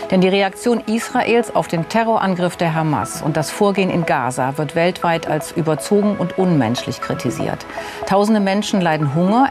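Woman moderating a political talk show. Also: fairly low pitch. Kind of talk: news moderation.

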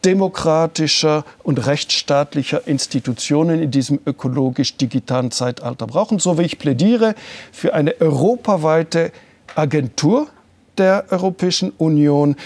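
An older man whose native language is French, giving measured, deliberate answers as an interview guest and slowing down to stress his points. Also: a little high, a little mellow, dry recording. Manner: measured, deliberate, slows down for emphasis.

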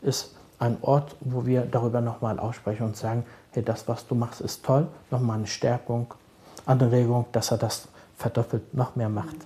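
A middle-aged man, a social worker, answering interview questions calmly and thoughtfully, often pausing to search for words. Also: mid-range voice, a little hiss or hum. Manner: calm, measured, searching for words with many fillers. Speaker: social worker advising fathers.

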